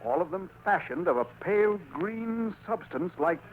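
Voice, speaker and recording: A wry newspaper editor in an old radio play. His crisp, declamatory voice is on the high side. The sound is dull, with light noise. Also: animated, moderate pace. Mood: portentous reading. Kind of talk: 1940s radio acting. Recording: muffled, noisy old radio recording.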